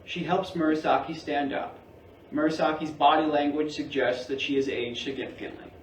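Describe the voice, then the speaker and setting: A man's voice: fairly high pitch, fairly even intonation, moderate pace. A man reading stage directions as scripted narration at a staged play reading. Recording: echoey, distant mic, faint noise.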